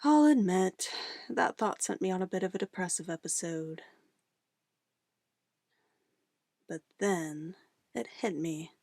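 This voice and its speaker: A woman's voice: on the low side, moderate pace, gravelly, dry recording, clean studio sound. A woman playing an obsessive, possessive witch in an ASMR roleplay, sweetly menacing and cooing in an intimate, theatrical way. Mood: confiding, sinister. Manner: intimate, cooing, theatrical, shifting between soft whispers and firm commands.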